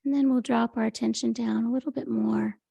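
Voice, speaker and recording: A woman leading a guided meditation in a gentle, unhurried, soothing manner, with a soft, breathy voice. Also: fairly high pitch, fairly even intonation, dry recording. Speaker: meditation teacher. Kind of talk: guided meditation, Yoga Nidra.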